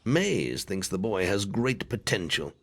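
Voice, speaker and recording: A stern but kindly older guildmaster for a fantasy video game. His voice sits low and moves with lively inflection as he instructs and encourages like a seasoned mentor. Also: a little slow, full character voice. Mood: instructive.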